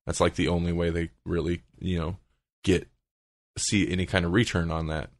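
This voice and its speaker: A male podcast host talks casually in a low-pitched voice. He thinks aloud in a halting way, and the sound is close and dry with no room to it. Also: level tone, no echo.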